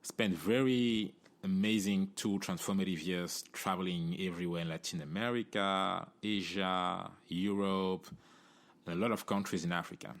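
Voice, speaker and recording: Deep-voiced man hosting a podcast. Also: steady tone, moderate pace. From Cameroon. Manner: earnest, conversational, often tags "you know" and "right?". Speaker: podcast host. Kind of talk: solo podcast monologue.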